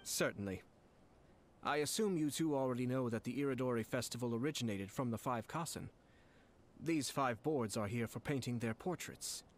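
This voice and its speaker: Composed noble bureaucrat, played by a man; formal, measured delivery, mid voice. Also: medium pitch, a little hiss or hum, lightly acted. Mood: calm.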